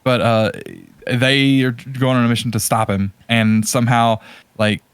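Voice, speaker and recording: A man's voice: mid-range voice, little pitch movement, unhurried, smooth, dry recording. A man co-hosting a podcast in casual chat, rambling and often hesitating and correcting himself as he goes. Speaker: podcast co-host.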